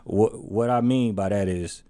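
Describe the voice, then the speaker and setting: A man's voice: lowish voice, unhurried, dark tone, smooth. Guy cohost explaining stuff, acts out little examples, conversational. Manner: explains with acted-out examples, builds up energy. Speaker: co-host. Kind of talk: casual podcast chat.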